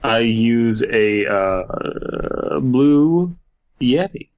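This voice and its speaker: A man's voice: mid-range voice, lively intonation, drawn-out words, a little mellow, lo-fi sound. A man co-hosting a comedy podcast in casual banter, riffing and often laughing through his own jokes. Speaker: podcast co-host.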